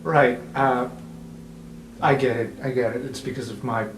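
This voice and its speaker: A man's voice: medium pitch, fairly even intonation, moderate pace, close to an everyday voice. A man voicing a nervous, lovestruck teenage boy superhero with an awkward, stammering, self-doubting manner in an improvised roleplay podcast. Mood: embarrassed. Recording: roomy, slightly distant, music behind.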